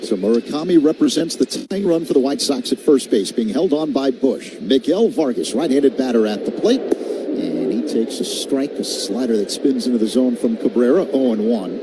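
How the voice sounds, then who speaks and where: An American man delivers live radio play-by-play of a baseball game in a mid-range voice. Outdoor ballpark noise is clearly audible around him as he follows each pitch. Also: animated, moderate pace. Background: outdoor stadium ambience.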